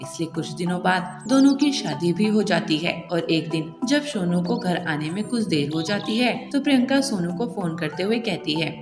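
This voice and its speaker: A woman's voice: fairly low pitch, animated, moderate pace, few pauses. Woman narrating with music and echo. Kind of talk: storytelling narration. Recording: echoey room with long reverb and music.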